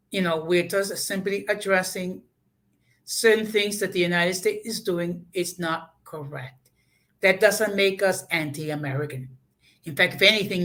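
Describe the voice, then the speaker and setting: A woman speaking as a panelist in a casual political discussion, in a measured, explanatory way. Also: fairly low pitch, a bit bright. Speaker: talk show panelist.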